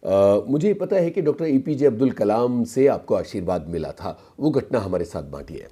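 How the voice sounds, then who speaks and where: A man's voice: fairly low pitch, very animated, moderate pace, mellow, husky. A man interviewing politely in a radio studio, with courteous, slightly hesitant phrasing.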